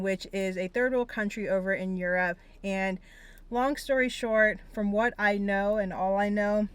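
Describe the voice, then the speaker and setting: Smooth, clear young American woman. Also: medium pitch. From Pennsylvania, United States. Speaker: therapist sharing her life story. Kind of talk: personal vlog monologue. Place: inside a parked car on a rainy day.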